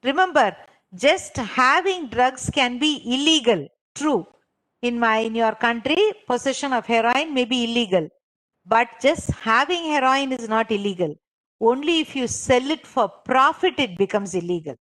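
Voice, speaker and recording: High-voiced woman teaching, instructive. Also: animated, normal speed, fairly bright tone, rough recording. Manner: instructive, repeats herself and checks understanding. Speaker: trainer leading a clinical interviewing course. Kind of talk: interactive online lesson, explaining and quizzing participants.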